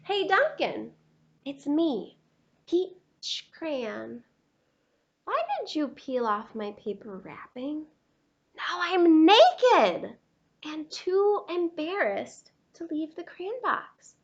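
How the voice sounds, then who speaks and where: A woman puts on a mortified peach crayon for a children's book. It is high and swooping, with plaintive, exclaiming complaints. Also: sing-song, drawn-out words, muffled recording, exaggerated voice. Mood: embarrassed, pleading. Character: embarrassed, distressed, naked peach crayon.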